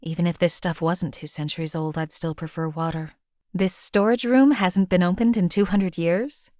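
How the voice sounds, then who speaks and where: A woman voicing a cold-blooded female assassin companion in a game, flat, clipped and detached. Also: medium pitch, no echo, clean studio sound, close to an everyday voice.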